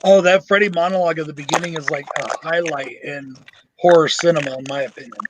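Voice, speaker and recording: A male host chats bluntly and jokes with his guests. His voice sits high for a man. The stream audio carries a steady hiss. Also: fast talker. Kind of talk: casual live show chat. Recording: noisy live stream audio.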